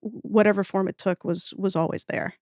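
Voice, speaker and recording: A woman speaks at a middle pitch with very little room sound. She is a guest in a casual chat, wry and talkative, working out her thoughts as she goes. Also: steady tone, fairly quick, dry recording, studio quality. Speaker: podcast guest, audio fiction writer.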